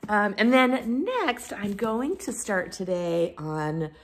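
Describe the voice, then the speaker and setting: A woman's voice: mid-range voice, very animated, takes it slowly. A woman talking casually as a book reviewer, with an enthusiastic, effusive and informal manner. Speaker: book reviewer and video host. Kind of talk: casual chat to camera about books.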